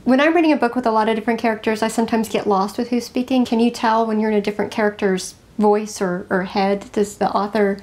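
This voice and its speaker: A woman co-hosting a casual book chat, talking in an expressive, confiding way. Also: a little high, fast, few pauses. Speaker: co-host of a book review show.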